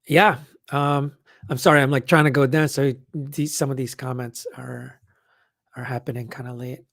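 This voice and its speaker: A man with a hoarse, worn-out voice hosting a casual live Q&A, rambling with lots of fillers and restarts as he answers comments. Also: medium pitch, fairly even intonation, moderate pace, a bit bright, no echo, studio quality. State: hoarse, losing his voice. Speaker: event organizer hosting a live update. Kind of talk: casual livestream Q&A.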